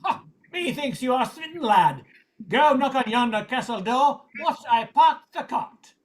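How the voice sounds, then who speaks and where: Woman as proud village baker, high pitch, declamatory, mock-archaic, radio comedy. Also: animated, measured pace, noisy background. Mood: teasing. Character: proud male village baker, grandly instructing his apprentice. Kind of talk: old-time radio comedy acting.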